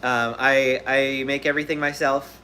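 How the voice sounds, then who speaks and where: A man's voice: mid-range voice, flat delivery, normal speed, bright, forceful tone, slight background noise. A man in his thirties hosting a live question-and-answer stream, talking casually and rambling with fillers and restarts. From Southern California. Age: 30s. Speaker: livestream host.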